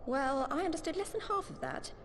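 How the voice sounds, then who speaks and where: An actress playing an earnest, plain-spoken young heroine in a video game cutscene, thoughtful and resolute. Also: female voice, high-pitched, expressive, a little fast, fairly bright tone, slight background noise. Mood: doubtful, pensive.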